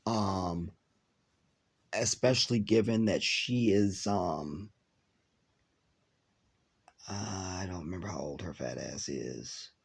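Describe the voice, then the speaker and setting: A man's voice: low voice, husky. A congested man with a stuffy voice, casually talking to viewers in a reaction vlog, with lots of ums and trailing phrases. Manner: rambling with many ums, drawn-out words. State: congested from a cold. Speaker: video reaction host.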